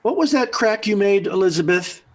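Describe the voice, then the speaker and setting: An actor playing a sarcastic, hot-tempered middle-aged American father in a 1940s radio sitcom, with a slightly rough voice. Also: sits high, animated, moderate pace. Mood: indignant, sarcastic. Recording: old 1940s radio recording.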